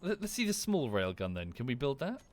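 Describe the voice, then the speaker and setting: Lively excitable man gaming with friends. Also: medium pitch, fairly quick. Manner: excitable, swears and laughs at explosions. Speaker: streamer. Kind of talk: casual gaming chat with friends.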